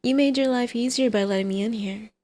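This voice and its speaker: A woman's voice: fairly high pitch, brisk, a little mellow, natural voice. A woman playing a flirty female villain and doting mother in an ASMR roleplay, with a teasing, coaxing, sardonic manner.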